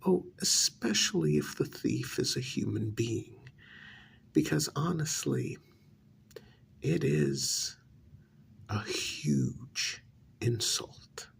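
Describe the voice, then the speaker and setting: A cruel high-society vampire , played by a man, toys with the listener in an ASMR role-play. The voice is deeply creaky and breathy, often falling to a whisper, and it crawls along very slowly with swooping, theatrical pitch. The delivery is haughty, condescending and darkly amused. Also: lively intonation, full character voice. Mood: haughty, threatening.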